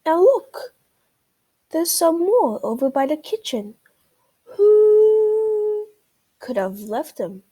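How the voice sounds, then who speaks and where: A woman's voice: very high voice, very animated, slow, pauses often, warm tone, pitched above the performer's own voice, exaggerated voice. A woman voicing a fussy, emphatic owl in a children's storybook, often questioning. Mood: emphatic, surprised. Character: fussy, emphatic owl witness at a cake contest.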